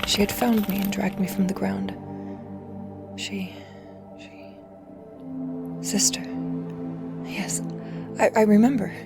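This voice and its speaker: A woman playing a confused, frightened patient in horror audio fiction, hushed and haunted, often slipping into a whisper. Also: mid-range voice, gravelly. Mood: haunted, remembering. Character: confused, frightened patient waking blind and immobile.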